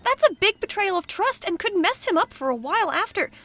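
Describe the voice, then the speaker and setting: A quirky, eager female spy in an audio comedy. Her voice is very high and bright, chirpy and earnest, and it bounces around in pitch. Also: sing-song, fairly quick, no echo, full character voice. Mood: earnest, puzzled. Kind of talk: comedy audio drama acting.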